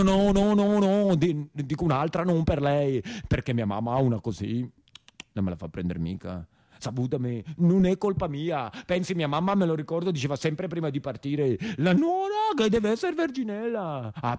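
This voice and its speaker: A man's voice: fairly high pitch, big pitch swings, moderate pace, mellow, dry recording, studio quality. An actor playing a bashful, clumsy young northern Italian man with a Lombard accent, stammering and apologetic in a theatrical monologue. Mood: rambling, pleading.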